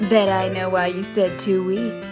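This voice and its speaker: A woman's voice: mid-range voice, flat delivery, measured pace, over music, lightly acted. A woman playing a bold young fighter, a teasing older sister, in an audio drama, with a casual dry delivery. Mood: playful.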